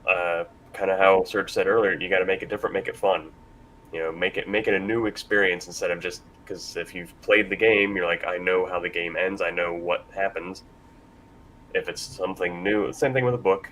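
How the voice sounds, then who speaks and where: A man's voice: fairly bright tone, rough recording. A man hosting a casual panel discussion, speaking in a hesitant, self-deprecating way. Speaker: panel moderator. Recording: constant rumbling background noise.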